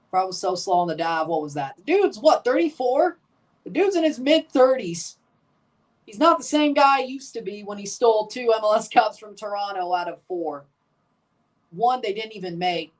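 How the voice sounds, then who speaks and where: A man streaming a live match watchalong, chatting casually and calling goals with excitement while guarding a strained voice. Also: high-pitched, recorded from a distance, poor audio.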